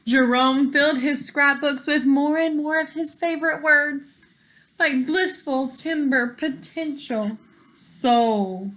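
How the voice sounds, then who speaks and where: A woman reading a picture book aloud to children in an expressive, teacherly way, pausing to explain words and put questions to young listeners. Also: high voice, low-quality recording.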